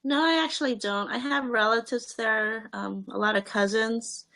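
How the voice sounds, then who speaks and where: A woman's voice: on the high side, fairly even intonation, normal speed, gravelly, pushed and bright. A young woman answering interview questions in a casual, hesitant way, with many fillers and restarts.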